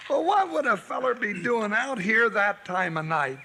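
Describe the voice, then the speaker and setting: An actor playing a grizzled, excitable frontier sidekick with a squeaky voice and folksy drawl, in 1950s radio drama acting on an old noisy radio recording. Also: male voice, normal speed, vocal fry, full character voice. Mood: wary.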